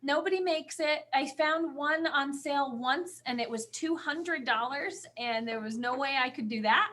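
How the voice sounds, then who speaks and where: A woman's voice: sits high, moderate pace, bright, forceful tone. A woman co-hosting a casual fan podcast, talking in an enthusiastic, gushing and emphatic way. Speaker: podcast co-host.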